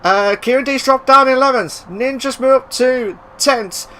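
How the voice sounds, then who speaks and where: A man giving live racing commentary, excitable and bursting into loud exclamations at the action. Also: very high-pitched, normal speed, a little hiss or hum. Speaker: race commentator.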